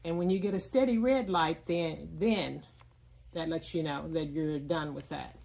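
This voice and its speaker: A woman giving a casual, explanatory product demonstration in plain words, often pausing mid-sentence. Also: low voice, vocal fry, a little mellow. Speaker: product reviewer.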